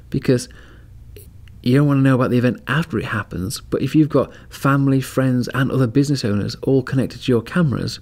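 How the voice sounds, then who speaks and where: Gravelly-voiced man giving casual advice. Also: medium pitch, a little mellow. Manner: chatty, walks about while demonstrating cameras. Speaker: podcast host. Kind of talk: casual product demo and advice talk. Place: outdoors at home driveway, then indoors.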